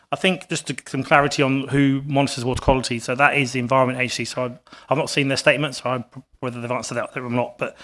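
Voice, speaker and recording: A man with a rough, gruff voice from a cough, answering questions from a council committee in a halting way that repeats words. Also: mid-range voice, fairly bright tone. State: hoarse with a cough. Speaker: water company representative. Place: council committee meeting room.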